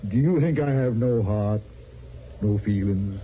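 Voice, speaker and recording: A man's voice: fairly low pitch, measured pace, lightly acted. A male actor plays a lonely old professor of the Old West, wounded and grandly formal. He orates plaintively through a very thin, phone-like radio recording with faint noise behind him. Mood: wounded pleading. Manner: theatrical, formal, plaintive oratory. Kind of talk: old-time radio western acting.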